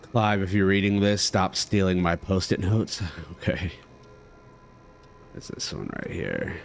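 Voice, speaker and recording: A man with a very deep voice gives casual gameplay commentary. He thinks aloud and reads on-screen text, with faint noise behind him. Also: measured pace, gravelly. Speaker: gamer playing and commenting. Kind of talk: casual let's play commentary, reading game text aloud.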